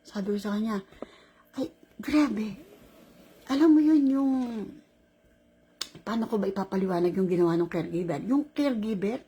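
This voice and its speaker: A woman in her sixties with a Filipino accent, telling a caregiving story in a casual, animated vlog, laughing often. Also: medium pitch, fairly quick, long pauses, slight background noise. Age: 60s. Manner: animated, laughing, mixes Tagalog and English.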